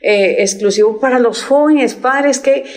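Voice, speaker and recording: A woman hosting a religious talk show, speaking in an effusive, admiring way with halting phrasing. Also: high voice, darkish tone. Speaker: host.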